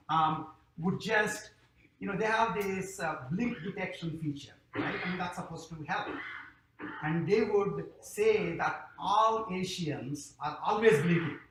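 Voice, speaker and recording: A man's voice: high voice, pushed and bright. A man with an Indian accent giving a conversational public lecture, full of "you know" and "um" fillers. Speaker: invited lecturer. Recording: echoey hall with faint noise.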